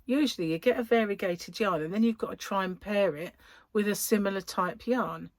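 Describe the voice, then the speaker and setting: A woman's voice: mid-range voice, brisk, dry recording. A woman hosting a podcast, chatting casually and rambling as she thinks aloud. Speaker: podcast host. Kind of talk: casual chat.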